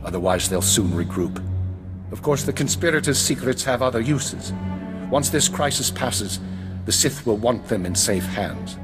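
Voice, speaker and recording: An actor playing a grave, authoritative older intelligence minister, speaking in a measured, formal way in a video game. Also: male voice, mid-range voice, animated, loud music behind the voice, lightly acted. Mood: grave, commanding. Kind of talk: video game drama acting.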